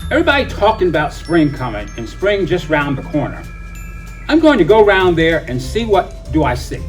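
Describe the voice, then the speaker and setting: Man playing a cocky young schoolboy, swooping pitch. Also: wildly swooping pitch, normal speed, soft background music. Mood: determined. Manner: cocky, slangy street talk. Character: bold, skeptical city schoolboy in shades.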